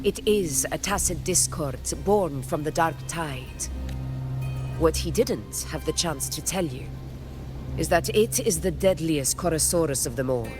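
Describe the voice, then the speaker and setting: A woman voicing a composed, commanding leader in a video game, speaking in a measured way. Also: deep voice. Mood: grave. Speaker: game character. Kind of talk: scripted video game voice acting. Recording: background music.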